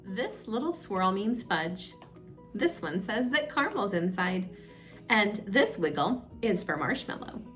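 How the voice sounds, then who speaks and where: A woman's voice: medium pitch, very animated, a little slow, fairly bright tone, faint music behind. A woman reading a children's storybook aloud as a story time host, expressively, drawing out suspenseful phrases.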